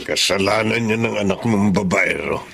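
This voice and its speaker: An actor with a Filipino accent playing a frank, deliberate middle-aged father in a radio drama. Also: fairly low pitch, gravelly, fairly bright tone, a little hiss or hum. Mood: reasoning.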